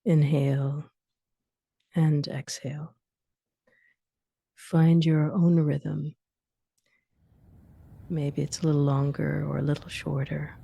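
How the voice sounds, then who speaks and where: A woman leading a guided sleep meditation, giving soothing instructions in short phrases with long pauses and soft, breathy endings. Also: low-pitched, little pitch movement, no echo.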